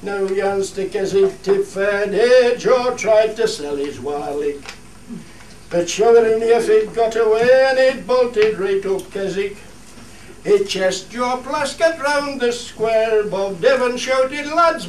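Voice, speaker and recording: A man's voice: high-pitched, takes it slowly. A man acting a homely country singer with a Cumbrian accent, performing a comic dialect ballad in a radio play. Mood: droll storytelling. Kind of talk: dialect radio play, spoken verse with sung choruses. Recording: noisy, echoey room, distant microphone.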